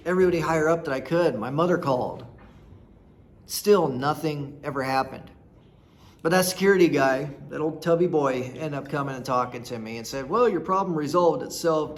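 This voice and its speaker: A man in his thirties from rural Nebraska, telling personal stories in a blunt, folksy, rambling way. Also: mid-range voice. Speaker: storyteller host. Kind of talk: casual personal storytelling.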